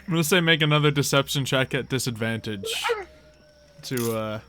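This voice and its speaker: Guy game mastering a tabletop rpg, casual improvised narration, kinda halting, crisp forward voice. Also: medium pitch, sharp tone.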